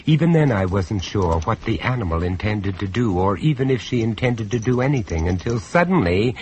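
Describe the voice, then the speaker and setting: An actor playing the male narrator of a radio horror tale, measured, urbane and ominous. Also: on the low side, animated, background noise, close to an everyday voice.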